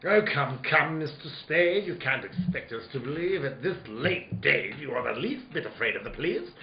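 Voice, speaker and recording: Older noir villain, jovial and florid, theatrical higher-set voice, muffled audio with faint noise. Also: male voice, animated, gravelly, reverberant. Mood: menacing, smooth. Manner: florid, courteous, theatrical drawn-out phrasing. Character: jovial, crafty, pompous older villain obsessed with a treasure. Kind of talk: old-time radio noir acting.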